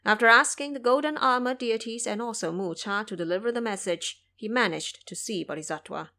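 A woman's voice: on the high side, a little fast, sharp tone. A woman narrating a scripted audio drama as a storyteller.

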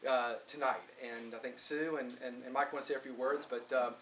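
A man speaks up to honor a club member, earnest and steady, listing what he does. His voice comes from across the room, muffled by noise. The pitch sits in a middle range. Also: a little fast. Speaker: club organizer giving a tribute. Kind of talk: informal tribute speech. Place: indoor function room. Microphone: far camera. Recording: distant, noisy room audio.

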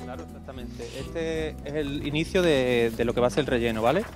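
Fast-talking chef, music behind. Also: male voice, medium pitch. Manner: explaining steps plainly. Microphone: reporter's handheld mic.

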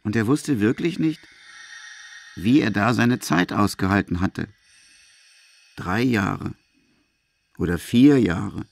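A man's voice: on the low side, slow, creaky, long pauses, a little mellow, full character voice. A man acting as the narrator of a grim literary radio play, telling a madman's story in the third person. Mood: grim, indignant.

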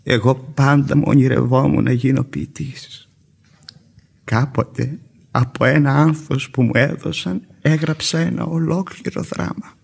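An actor playing a gentle, wistful old schoolteacher and failed poet in a radio play, theatrical, with a creaky, raspy voice. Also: male voice, medium pitch, steady tone. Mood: wistful, resigned. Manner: theatrical, declamatory. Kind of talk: classic radio theatre acting.

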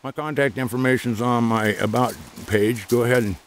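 Man, mid pitch, casual rambling outdoor vlog talk, handheld camera, faint background noise. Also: fairly quick. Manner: talks to his dog between asides, chatty and meandering. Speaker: service dog trainer and vlogger. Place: outdoors by a creek.

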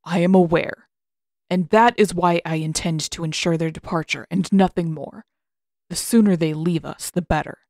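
A woman's voice: on the low side, moderate pace, darkish tone, no room sound, lightly acted. Storybook role: woman playing a disapproving old male master, cold and condescending, recorded dry. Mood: cold, stern.

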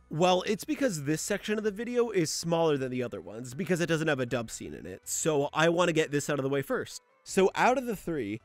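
Casting: young male video essayist, lively swinging intonation, informal and slangy, scripted but chatty; dry close mic over light music. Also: on the high side, no echo. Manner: informal, slangy, sweary, animated. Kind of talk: scripted video essay narration with casual asides.

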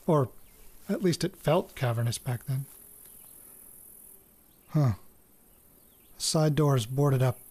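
Halting, slow-spoken farm-raised man. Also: medium pitch, a little mellow. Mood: hesitant, musing. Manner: halting, murmuring, thinking aloud. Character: hesitant, awed farm-raised man recording his discoveries. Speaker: lead actor in an audio drama podcast. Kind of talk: naturalistic audio drama, narrating into a recorder.

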